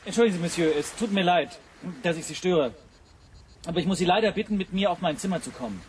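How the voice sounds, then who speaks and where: An actor playing a hesitant, apologetic man in a radio drama, speaking haltingly and repeating his apologies. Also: high voice, expressive, slight background noise, rough recording.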